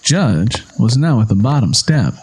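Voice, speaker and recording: A man's voice: medium pitch, big pitch swings, unhurried, background noise. A man reading scripted fiction as the narrator of an audio story. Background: music.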